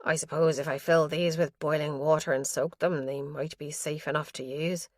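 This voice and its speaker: A woman narrator voicing a kind, practical female detective who talks in a brisk, helpful way. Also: deep voice, steady tone, dry recording, studio quality.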